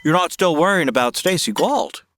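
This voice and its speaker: A man's voice: on the high side, expressive, moderate pace, a bit bright, slight background noise. A man playing an easygoing, polite cowboy drifter in a comedy audio drama, with a drawling aw-shucks manner.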